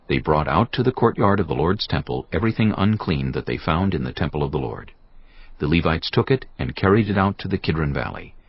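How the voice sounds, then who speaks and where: Very deep, gravelly male scripture narrator. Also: no echo, lo-fi sound. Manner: solemn, measured.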